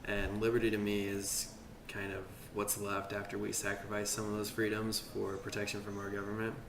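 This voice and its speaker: A 21-year-old American man giving casual, tentative interview answers in a monotone. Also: on the low side, normal speed. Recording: echoey room with clear noise.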